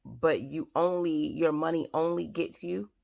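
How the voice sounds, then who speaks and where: Raspy woman giving dating advice. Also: sits low, fairly even intonation, unhurried, darkish tone. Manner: earnest, repetitive, many fillers. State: raspy, scratchy throat. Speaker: host. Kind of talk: advice talk to camera.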